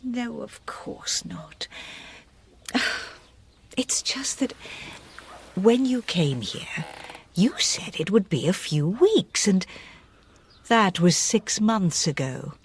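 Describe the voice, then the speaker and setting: A woman's voice: mid-range voice, sing-song, takes it slowly, pauses often, breathy edge, full character voice. A middle-aged woman playing a long-suffering, practical farm widow in a radio comedy-drama, wry, patient and down-to-earth. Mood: coaxing, weary. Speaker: actor in a radio play. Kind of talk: radio comedy-drama acting, confiding narration.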